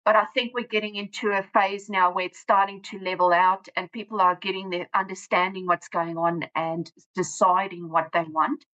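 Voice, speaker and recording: A woman's voice: on the low side, steady tone, moderate pace, hardly any pauses, pushed and bright, lo-fi sound. A woman from South Africa with a South African accent, whose first language is Afrikaans, speaking plainly in a casual interview with frequent restarts. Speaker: guest author and teacher.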